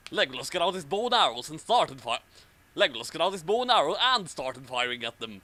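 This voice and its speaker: A man's voice: fairly high pitch, very animated, normal speed, sharp tone. A man reading amateur fan fiction in a mock-dramatic style, with stumbles and wry casual asides.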